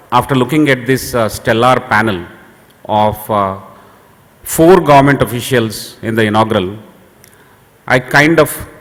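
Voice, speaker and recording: A man's voice: medium pitch, a bit bright. Indian man speaking in a reverberant hall. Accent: Indian English. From southern India. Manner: anecdotal, measured, frequent "uh" pauses. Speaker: conference speaker for a university. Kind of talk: conference keynote speech. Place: large conference hall. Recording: long hall reverb from a venue PA.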